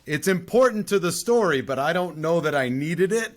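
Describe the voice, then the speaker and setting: A man's voice: fairly high pitch, animated, normal speed. A man co-hosting a podcast, giving his casual opinion of a film, hedging and repeating himself to make his point. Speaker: podcast co-host.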